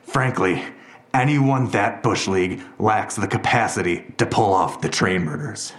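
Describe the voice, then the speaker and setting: An actor playing a ruthless, controlled police detective in an audio drama, speaking in a measured, coldly threatening way. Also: male voice, on the low side, reverberant, lightly acted.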